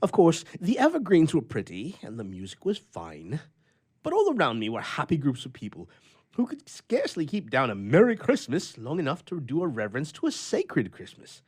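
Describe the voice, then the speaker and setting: Man playing an indignant lonely bachelor, wildly swooping pitch. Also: wildly swooping pitch, moderate pace, a little mellow, slightly rough, heavily acted. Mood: wistful, aggrieved. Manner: emphatic, indignant, old-fashioned oratorical delivery. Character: irritable, lonely old bachelor who hates holidays. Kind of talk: theatrical storybook reading.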